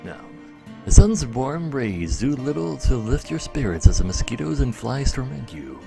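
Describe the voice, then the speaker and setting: A man's voice: medium pitch, very animated, normal speed, gravelly, over music. A man livestreaming a game, mixing muttered commentary with dramatic readings of the game's text. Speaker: live streamer. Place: indoors, livestream with game music behind.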